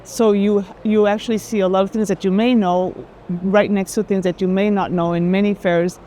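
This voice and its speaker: A woman with a Colombian accent, speaking thoughtfully in conversation on an art fair tour, often pausing and repeating words. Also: medium pitch, flat delivery, mellow. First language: Spanish. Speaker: art advisor. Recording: faint urban ambient noise.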